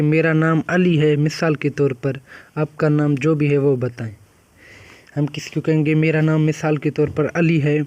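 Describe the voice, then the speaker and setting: A young man teaching an English practice lesson, repeating each phrase and drawing the English words out very slowly. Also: fairly high pitch, a little mellow, no room sound.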